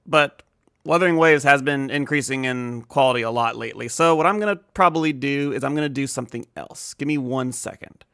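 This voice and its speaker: Bright-voiced man chatting on a game stream. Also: mid-range voice, a little fast, smooth, no room sound, clean studio sound. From United States. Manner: rambling, informal, mild swearing. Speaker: live streamer of video games. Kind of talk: casual live-stream chat.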